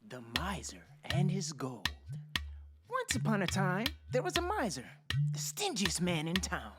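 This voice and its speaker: Theatrical woman storyteller, animated and rhythmic. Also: fairly low pitch, unhurried, very noisy. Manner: theatrical, expressive, emphatic. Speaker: narrator. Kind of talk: rhythmic rhyming storytelling radio drama narration. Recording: loud music behind narration.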